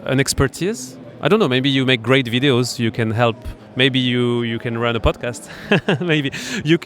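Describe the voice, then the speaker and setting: A man with a French accent, a startup accelerator founder being interviewed at a startup event, explaining his business in a halting way full of fillers and restarts. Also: mid-range voice, a bit bright. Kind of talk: conversational interview.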